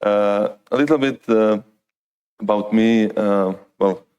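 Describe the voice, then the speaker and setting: A man's voice: lowish voice, slow, a little mellow, clear, resonant tone, muffled recording. A man with a Croatian accent giving an informal, hesitant talk to a conference. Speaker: conference lightning talk speaker. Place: conference stage, indoors.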